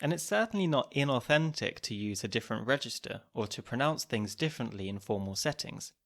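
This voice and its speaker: A man hosts a podcast, reading scripted narration in a calm, measured, explanatory way. His voice sits at an ordinary middle pitch for a man. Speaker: podcast host.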